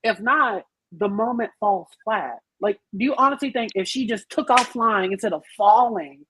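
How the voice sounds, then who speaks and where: A woman's voice: mid-range voice, moderate pace, a bit bright, a little hiss or hum, poor audio. A woman in a casual group podcast discussion, speaking in an emphatic, playful, slangy way as a panelist. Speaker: podcast panelist reviewing a film musical.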